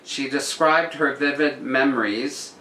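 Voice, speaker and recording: Slow-paced man with a bright, roomy sound. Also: mid-range voice. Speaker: host reading tabloid articles aloud with commentary. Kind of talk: reading aloud with casual wry commentary. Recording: roomy echo with noticeable background noise.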